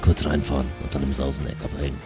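A man's voice: rumbling low, monotone, fast talker, over music. A man playing a sociable, laid-back devil from the underworld in an improvised roleplay audio play, easygoing and nonchalant. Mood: easygoing, persuasive.

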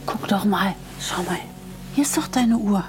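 A woman's voice: mid-range voice, lively intonation, unhurried, natural voice. An actress playing a kindly older boat-rental owner and mother, caring and cheerful, in a reenacted drama scene. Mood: soothing. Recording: clear music behind dramatized scenes.

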